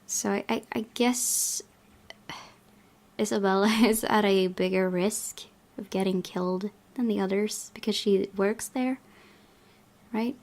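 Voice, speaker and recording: Woman, medium pitch, casual gaming host, halting, thinking aloud, playthrough commentary. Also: fairly even intonation, a little mellow.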